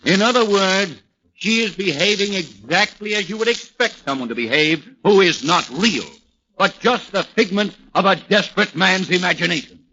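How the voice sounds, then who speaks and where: Fiery high-pitched American prosecutor, forceful. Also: male voice, lively intonation, normal speed, husky, exaggerated voice. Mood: mocking, triumphant. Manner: oratorical, rhetorical, sardonic. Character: fiery theatrical prosecutor addressing a jury. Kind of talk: 1940s radio acting, courtroom oratory. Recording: dull, noisy 1940s radio recording.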